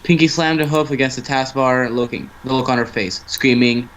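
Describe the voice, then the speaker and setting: A man's voice: mid-range voice, steady tone, moderate pace, a bit bright. Man narrating a story, slightly noisy mic. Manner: stumbling over words and rereading phrases. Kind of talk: amateur story reading.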